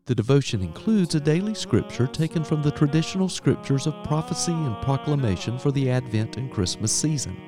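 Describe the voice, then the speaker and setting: A man reads a scripted podcast introduction in a gentle, formal way. His pitch moves in a lively, animated way. Clear plucked guitar music plays behind him. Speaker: podcast host.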